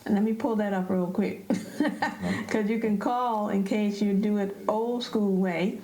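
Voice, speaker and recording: A middle-aged woman chats as an interview guest. Her voice is medium in pitch and very mellow, recorded in a room with strong echo. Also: steady tone, measured pace. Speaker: stage director. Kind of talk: casual interview conversation. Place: indoors at a theater. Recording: echoey room.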